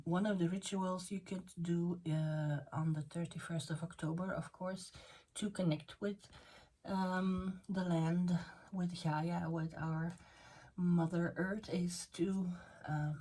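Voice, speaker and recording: Slow, husky-voiced woman with Dutch roots. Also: sits low, mellow, poor audio. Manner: halting, many fillers and restarts. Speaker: tarot reader. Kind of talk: spoken tarot reading video.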